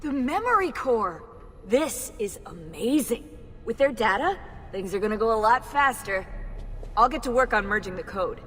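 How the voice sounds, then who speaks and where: A woman's voice: on the high side, very animated, normal speed, fairly bright tone. An actress playing an eager, friendly young woman technician in a video game cutscene, enthusiastic and informal. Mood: excited. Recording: long reverb, faint music behind.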